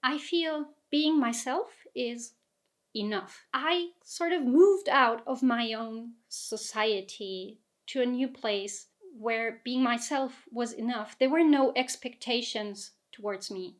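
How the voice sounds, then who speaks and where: A woman, her voice sitting a little on the high side. She talks in a chatty, reflective vlog manner and pokes fun at herself now and then. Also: slow. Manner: chatty, self-deprecating, laughs often. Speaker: vlogger.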